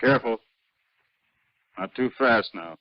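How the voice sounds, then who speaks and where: An actor playing a concerned, cautious spaceship commander in a 1950s radio drama. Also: male voice, mid-range voice, a little slow, lightly acted. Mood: urgent concern. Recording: muffled, dry vintage radio recording.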